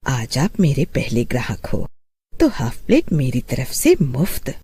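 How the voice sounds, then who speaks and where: Woman voicing a mysterious, mellow female street vendor. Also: a bass voice, wildly swooping pitch, a little slow, breathy edge, no echo, pitched below the performer's own voice, exaggerated voice. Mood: obliging. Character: mysterious female street food seller, politely obliging. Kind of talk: animated horror story dialogue.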